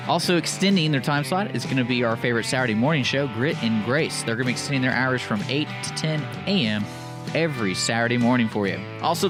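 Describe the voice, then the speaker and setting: A man delivers a community radio announcement as the station's manager. His voice is medium in pitch, with guitar music clearly playing behind it.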